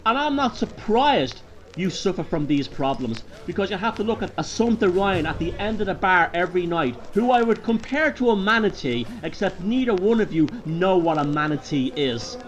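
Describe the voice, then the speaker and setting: A man's voice: high voice, lively intonation, moderate pace, pitched above the performer's own voice. Man acting a raised, scornful, boastful underdog. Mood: mocking, boastful. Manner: indignant, scornful, declamatory. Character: defiant, boastful Dublin underdog raging at his mockers. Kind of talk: dramatic storybook character voice.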